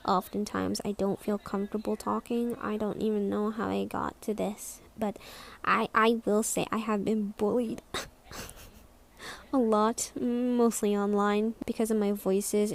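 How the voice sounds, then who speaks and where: A young woman with a squeaky voice rambling in a casual vlog, hesitant and self-conscious, full of restarts and trailing words. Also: gravelly. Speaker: vlogger.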